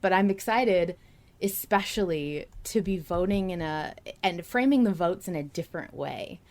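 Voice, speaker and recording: A woman's voice: lowish voice, lively intonation, normal speed, a little mellow. A woman hosting a casual fan discussion podcast, speaking with enthusiasm as she comments and reads out remarks. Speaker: podcast host.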